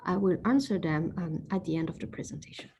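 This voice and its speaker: A woman's voice: lowish voice, fast talker, dark tone, a little hiss or hum. A woman acupuncturist giving an informal online talk, explaining patiently with frequent fillers and self-corrections. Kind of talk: informal lecture with slides.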